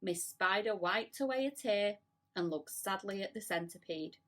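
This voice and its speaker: A woman reading a children's storybook aloud in an expressive narrating style. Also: medium pitch, moderate pace. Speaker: school staff member reading aloud.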